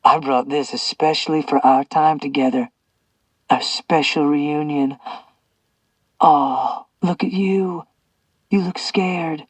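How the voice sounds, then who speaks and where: A sneering, vengeful male captor who taunts his prisoner with slow, poisonous mockery, as a man puts him on in an audiobook. The voice is dry and close, a little husky. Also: medium pitch, steady tone, no room sound, recorded from a distance, poor audio. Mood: venomous taunting. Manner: venomous, mocking, drawn-out. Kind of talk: audiobook character voice.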